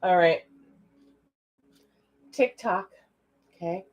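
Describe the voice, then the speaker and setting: A woman with a raspy voice giving a live-stream psychic card reading, chatting directly with viewers in the comments. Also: lowish voice, extremely slow, lo-fi sound, a little hiss or hum. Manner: chatty, talks to viewers in the chat. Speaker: psychic reader.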